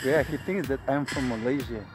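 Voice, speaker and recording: A man's voice: mid-range voice, fairly quick. A young man from Kazakhstan, hosting upbeat street interviews on a city street and laughing often. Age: young adult. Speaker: vlogger host. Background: music.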